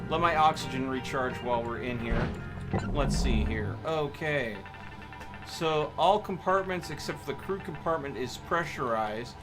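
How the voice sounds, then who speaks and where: A man's voice: mid-range voice, unhurried. A man hosting a live game stream, chatting casually and commenting on his play, with game music behind him. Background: video game music.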